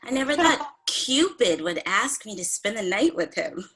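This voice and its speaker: Bright, insecure young woman, acted by a woman. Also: medium pitch, very animated, moderate pace, breathy edge, full character voice. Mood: hopeful, awkward. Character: thirty-year-old woman, smitten but insecure one-night date. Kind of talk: comedic script table read.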